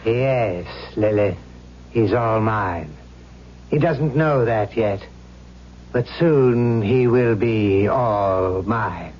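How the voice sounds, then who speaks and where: An actor playing a smug, scheming devilish villain in an old-time radio drama, sardonic and deliberate. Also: male voice, fairly low pitch. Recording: muffled, hissy old radio drama recording.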